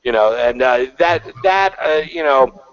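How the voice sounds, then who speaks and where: A man's voice: medium pitch, lively intonation, measured pace. Rambling man on a lo-fi call. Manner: rambling, heavy on fillers and restarts. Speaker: panel guest. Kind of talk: casual online panel chat. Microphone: video call. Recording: lo-fi online call audio.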